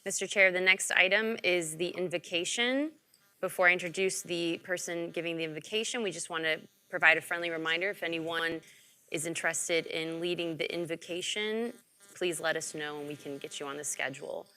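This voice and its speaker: Meeting clerk, woman, slightly low voice with a bright edge, crisp and businesslike. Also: steady tone, brisk. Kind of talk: formal meeting procedure. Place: public meeting room.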